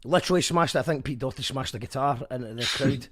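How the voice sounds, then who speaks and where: Scottish male radio host, brisk delivery, chatty and casual. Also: mid-range voice, a bit bright. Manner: friendly, chatty, local slang.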